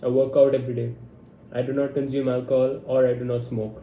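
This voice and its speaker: A man's voice: medium pitch, little pitch movement, fast, phone-quality sound. A man in his twenties giving a blunt, confident monologue to camera. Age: 20s. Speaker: vlogger. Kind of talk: casual talking-head monologue. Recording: echoey room with faint hum.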